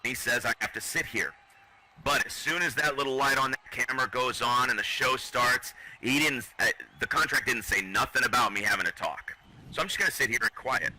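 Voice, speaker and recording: A man commentating on wrestling as a cocky heel who brags about himself. His voice is piercingly bright and forceful, at a middle pitch. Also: fairly quick, rough recording. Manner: arrogant, boastful heel persona.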